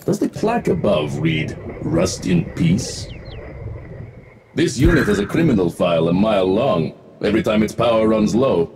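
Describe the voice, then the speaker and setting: A man voicing a smug, wisecracking futuristic mech-suit warrior for a video game, in punchy, boastful lines. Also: on the low side. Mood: wry, joking. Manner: boastful, punchy, clipped callouts. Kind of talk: video game voice pack barks.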